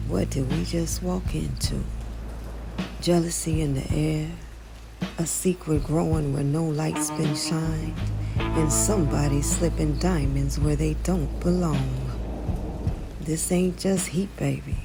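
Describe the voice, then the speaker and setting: A sultry noir storyteller played by a woman speaks slowly in a low, gravelly voice. She is teasing, intimate and knowing, with music and rain behind her. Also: steady tone, full character voice. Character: sultry, knowing female late-night storyteller. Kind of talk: slow noir interlude narration.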